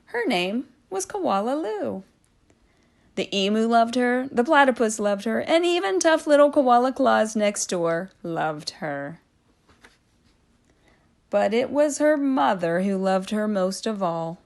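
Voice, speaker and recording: A woman reading a children's storybook aloud expressively, drawing out phrases with dramatic hushes and swells. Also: fairly high pitch, animated, takes it slowly, vocal fry. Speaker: storybook reader.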